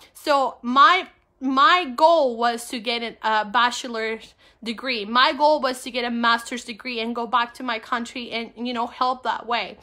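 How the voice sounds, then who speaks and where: Bright, high-pitched Peruvian-accented woman. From Peru. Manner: upbeat, emphatic, repeats key phrases. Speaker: network marketing coach and livestream host. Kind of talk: informal livestream talk to viewers.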